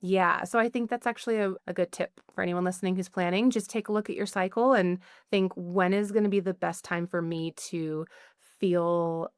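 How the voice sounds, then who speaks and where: A woman's voice: fairly low pitch, fairly even intonation, normal speed, clean tone, dry recording, clean studio sound. A woman guest on a podcast, talking casually and chattily with many "like"s and "you know"s. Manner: chatty, lots of likes and you-knows. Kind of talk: casual interview chat.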